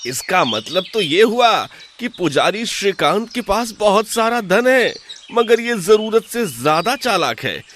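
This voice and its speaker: Man playing a sly village swindler, swinging pitch. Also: sing-song, unhurried, few pauses, fairly bright tone, slight background noise, full character voice. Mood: scheming. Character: sly, boastful village swindler, scheming and smooth-talking. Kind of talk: animated Hindi folk tale acting.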